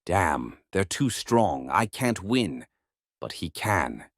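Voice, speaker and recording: A man's voice: low voice, sing-song, slow, no room sound, studio quality, exaggerated voice. A man playing a defiant, desperate fighter in an amateur action drama, gritty and emphatic, on a noisy recording. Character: defiant, desperate male fighter against villains.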